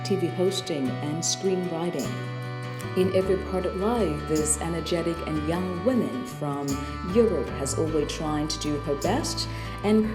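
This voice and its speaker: A woman reading scripted documentary narration in a smooth, even way. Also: low voice. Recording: voice-over over loud guitar music.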